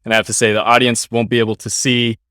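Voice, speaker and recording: A man's voice: medium pitch, little pitch movement, quick pace, bright, forceful tone, clean tone, no echo, clean studio sound. A young adult man guest-hosting a medical podcast, upbeat and friendly with some fillers.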